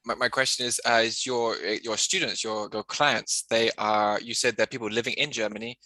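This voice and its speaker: Bright-voiced man on a headset. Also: fairly low pitch, steady tone, normal speed, dry recording, poor audio. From English-speaking country. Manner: rambling, many restarts. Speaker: podcast host and English tutor. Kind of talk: casual video call chat.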